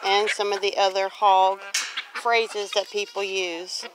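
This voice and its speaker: A woman's voice: mid-range voice, level tone, slow. A woman from Louisiana talking casually and reflectively in a plain-spoken, rambling way, like a homestead vlogger on a walk. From Louisiana, United States. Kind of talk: casual walk-and-talk monologue. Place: outdoors on a grassy farmyard. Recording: outdoor noise, farm animal sounds.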